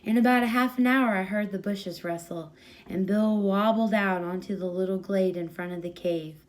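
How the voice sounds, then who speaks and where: A woman's voice: medium pitch, normal speed. A woman reading a short story aloud as a storyteller, in scripted narration.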